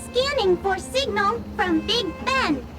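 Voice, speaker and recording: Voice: extremely high pitch, slow, full character voice. A performer voicing a small chirpy repair drone in a video game, making stilted status announcements over music. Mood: mechanical, chirpy. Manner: flat system announcements, stilted phrasing.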